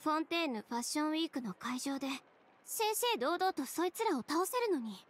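A woman's voice: sits high, lively intonation, a little fast, a little hiss or hum. An actress playing a proud, headstrong young female fashion designer in a game, speaking in a curt, composed, firm way. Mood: defiant. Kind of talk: Japanese game voice acting.

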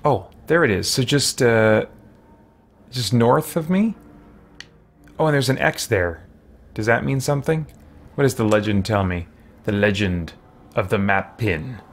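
Creaky-voiced man musing through gameplay. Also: on the low side, lively intonation, a little slow, pauses often. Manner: hesitant, musing, talking to himself. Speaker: game streamer. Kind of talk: casual gameplay commentary, thinking aloud. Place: small indoor room at home with shelves.

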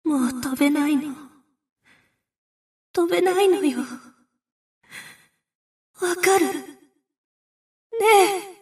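A woman voicing an eerie, forlorn girlish spirit in a game, speaking slowly and plaintively. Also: far from the mic. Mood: plaintive, insistent. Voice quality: very high, girlish. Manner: slow, plaintive delivery. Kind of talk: Japanese game voice acting.

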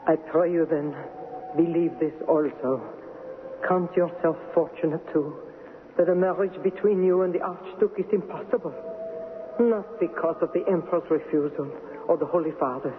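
An actress playing a weary older empress in a radio drama, solemn, formal and pleading. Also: female voice, fairly low pitch, normal speed, lightly acted. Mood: sorrowful, imploring. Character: weary, sorrowful older empress, regal mother. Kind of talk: 1970s radio drama acting. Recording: muffled, noisy 1970s radio with long reverb.

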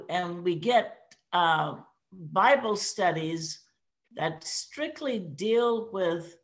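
Casting: elderly woman, very slow delivery, slightly low pitch, deliberate and halting questioner. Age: older, 70s or above. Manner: halting, deliberate, pauses to find words. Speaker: audience member asking a question. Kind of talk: careful, considered question. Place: bright indoor room on a video call.

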